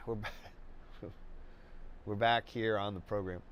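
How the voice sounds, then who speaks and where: A man hosting a live painting webcast, congested and stuffy, talking in a slow, rambling way with many restarts and fillers. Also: lowish voice, fairly even intonation, dull audio. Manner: halting, many restarts and fillers, laid-back. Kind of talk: rambling live-stream chat.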